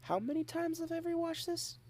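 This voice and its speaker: A young adult woman in a girlfriend ASMR roleplay, rambling shyly and softly, dropping into whispers at times. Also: very high-pitched, moderate pace. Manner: shy, rambling, soft-spoken with whispered asides.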